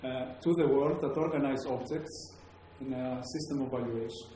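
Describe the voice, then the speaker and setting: A man with a Greek accent lectures to an audience, pausing often on fillers and restarting his sentences. His voice is mellow and dark. It rings out in a very echoey room over a faint hum of background noise. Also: medium pitch. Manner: hesitant, many fillers and restarts. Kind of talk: academic talk to a live audience.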